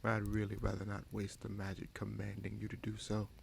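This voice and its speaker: A man playing a scheming sorcerer prince in a fantasy audio roleplay, smug and coolly menacing, with a gravelly voice and slow, deliberate, taunting delivery. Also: lowish voice, completely flat delivery, slight background noise. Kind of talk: fantasy audio roleplay, dramatic monologue addressed to listener.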